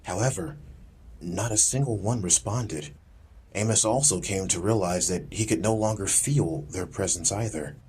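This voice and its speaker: A man narrating a fantasy audiobook in a dramatic, measured, literary storytelling style. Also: sits low, a little mellow, slight background noise. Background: music.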